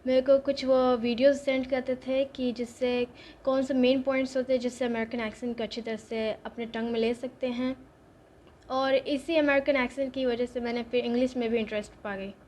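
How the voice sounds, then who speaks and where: Teenage girl singer, high voice. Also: level tone, darkish tone. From Bodh Gaya, India. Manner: mixes Hindi and English, speaks slowly and carefully in English. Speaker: interviewed young singer. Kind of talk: interview answers.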